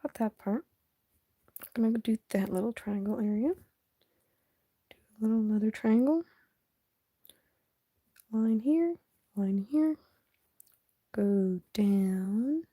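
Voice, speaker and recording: A young woman chatting casually on a live stream while she draws, soft and half-whispered, sniffly, her voice going hoarse. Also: on the high side, slow pace, long pauses, no room sound. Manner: soft, often half-whispered, hesitant and rambling.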